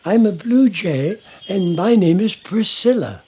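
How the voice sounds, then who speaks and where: A man voicing Priscilla, a cheerful female blue jay songbird, in a bedtime storybook, with a bright, sweet and friendly manner. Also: sits high, animated, measured pace, a little hiss or hum, voice pushed up.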